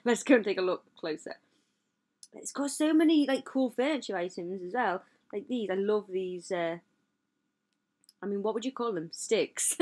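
A woman hosting a gaming channel, chatting casually and gushing over a building as she tours it, rambling with self-deprecating asides. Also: medium pitch. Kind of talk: casual game tour commentary. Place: voice-over over gameplay footage.

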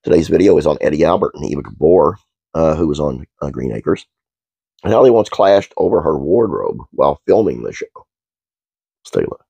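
Deep gravelly congested man. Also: dry recording, muffled recording. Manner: relaxed, halting with frequent uhs. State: congested, stuffed-up nose. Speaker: host. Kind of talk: casual trivia narration.

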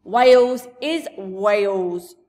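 A woman's voice: mid-range voice, very slow, a little mellow. A woman voicing a big, friendly, blustering male giant from a storybook, speaking emphatically in jumbled grammar and made-up words. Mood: earnest. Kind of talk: storybook character voice.

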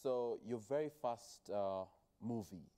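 Deep, mellow Kenyan male interviewer. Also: slow. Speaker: TV interviewer, host. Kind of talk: conversational TV interviewing. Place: TV studio, seated on a sofa. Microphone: headset or clip-on mic.

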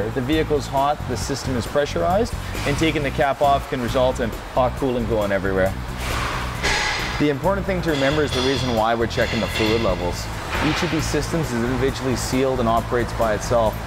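Fast-talking man explaining car checks. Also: mid-range voice. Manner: fast, practical how-to explanations with fillers. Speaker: tire shop technician. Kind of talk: hands-on demonstration. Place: car service garage, indoors.

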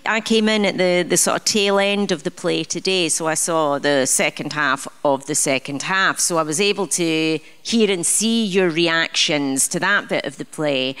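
A woman on a theatre panel talks about audience reactions in a chatty, rambling way, often restarting her sentences. Her voice sits a little low, and she speaks into a handheld mic on stage. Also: fairly bright tone, smooth. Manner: chatty, with frequent restarts and fillers. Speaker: assistant director. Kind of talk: live panel talk.

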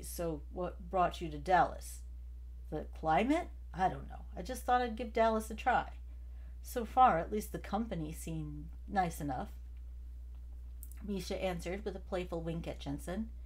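A woman's voice: fairly low pitch, a little mellow. A woman narrating a story from a script as an amateur audiobook reader, occasionally stumbling over a word. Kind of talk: scripted fiction narration, read aloud.

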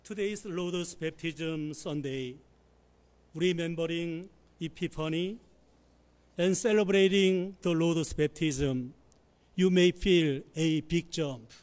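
Slow, slightly husky preaching man. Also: a little high, darkish tone. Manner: slow, measured preaching with careful phrasing. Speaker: pastor. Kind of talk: sermon. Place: church sanctuary, at the pulpit. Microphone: pulpit microphone.

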